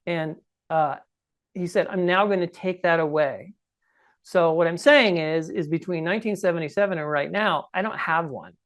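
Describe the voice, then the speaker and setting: A man's voice: a little high, moderate pace, highs cut off. A man in his sixties with a scratchy voice, telling his own story conversationally and emphatically as an interview guest. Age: 60s. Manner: emphatic, often checks in with "okay?" and "right?". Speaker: podcast guest recounting personal experience. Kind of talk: conversational first-person storytelling.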